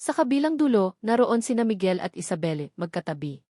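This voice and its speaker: A woman delivers scripted dramatic story narration. Her pitch sits in the middle for a female voice. The recording is very dry, without room echo. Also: very animated, fairly quick, dark tone.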